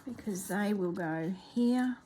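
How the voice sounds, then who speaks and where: A woman narrating her crafting work in a soft, relaxed voice, sometimes dropping to a whisper, congested and clearing her throat. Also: medium pitch, takes it slowly, very noisy. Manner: soft-spoken, often drops to a whisper while working. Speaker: craft video maker.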